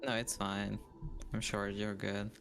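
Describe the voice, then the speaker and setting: A man's voice: on the low side, little pitch movement, unhurried, creaky, a bit bright, soft background music. A man chatting casually on a livestream, easygoing, mostly giving brief replies. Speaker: co-streamer.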